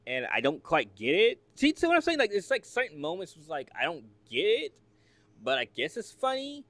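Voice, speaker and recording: A man from the United States reacts in casual, rambling talk, full of fillers and asides. His pitch swings widely as he talks. The sound is dry and close, with no room around it. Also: normal speed, no echo. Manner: rambling, filler-heavy, lively and chatty. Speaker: reaction video host.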